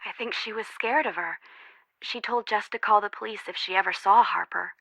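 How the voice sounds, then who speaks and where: A guarded, anxious young woman from a mystery video game. Her voice is bright and forward, sitting at an average female pitch. The recording is very dry and close. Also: a little fast, vocal fry, no room sound, low-quality recording. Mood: uneasy, troubled. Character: worried, guarded young woman, friend of missing girl.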